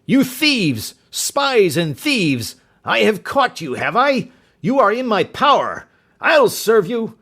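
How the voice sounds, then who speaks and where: A man's voice: sits high, big pitch swings, slow pace, a bit bright, pitched above the performer's own voice, heavily acted. A man voicing a fat, burly villain who shrieks in furious outbursts. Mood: raging.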